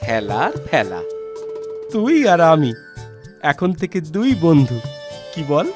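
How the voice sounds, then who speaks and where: An actor playing a homeless blind young man in a children's cartoon, plaintive, humble and halting. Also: on the high side, wildly swooping pitch, music in the background, exaggerated voice. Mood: friendly.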